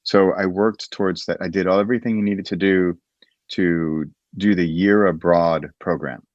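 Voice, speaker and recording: A very low-voiced American man talks through his past in a loose, rambling way, often restarting his sentences. His recording sounds dull and dry, with no room around it. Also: steady tone, moderate pace, no echo. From United States. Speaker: guest. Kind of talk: casual interview chat.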